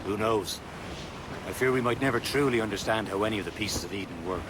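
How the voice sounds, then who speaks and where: An actor playing a steady, reassuring seafaring assassin, calm and thoughtful, in a video game. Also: male voice, on the low side, quick pace, heavy background noise, lightly acted. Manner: calm, thoughtful, plain-spoken. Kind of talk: dramatic video game acting.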